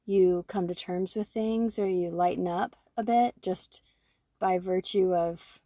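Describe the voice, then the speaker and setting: A woman in her forties, co-hosting a podcast, talking through her own thoughts in an animated way and often checking in with "right?". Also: lowish voice, a little slow, dry recording, studio quality. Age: 40s. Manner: animated, self-reflective, fond of tag questions like "right?". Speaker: podcast co-host. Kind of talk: casual conversation.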